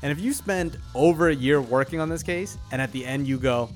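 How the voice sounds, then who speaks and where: A man's voice: a little high, fairly quick, soft background music. A man co-hosting a podcast, talking casually and emphatically in slangy language, often acting out what people say. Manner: emphatic, slangy, acts out quotes. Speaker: podcast co-host. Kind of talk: casual opinionated banter.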